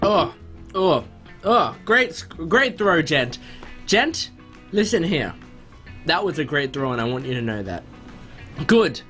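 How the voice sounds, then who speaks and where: A young man giving casual, excitable gameplay commentary in an animated way, often interrupting himself. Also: fairly high pitch, slow pace, pauses often, soft background music. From born in New Zealand, spent first three years there. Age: young adult. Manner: animated, self-interrupting, prone to exclamations. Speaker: gaming video host. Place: small room at home, indoors.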